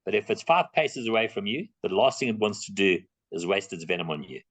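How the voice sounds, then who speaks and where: A man's voice: on the low side, big pitch swings, fairly quick, a bit bright, no echo. A middle-aged South African man answering audience questions in an online talk, plain-spoken and emphatic, repeating his key points. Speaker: guest speaker on reptiles.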